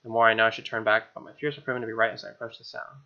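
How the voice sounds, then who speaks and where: A man's voice: fairly low pitch, fairly even intonation, racing, creaky, highs cut off. A man with an American accent reading a visual novel aloud as a gaming host, with casual asides. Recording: game music behind.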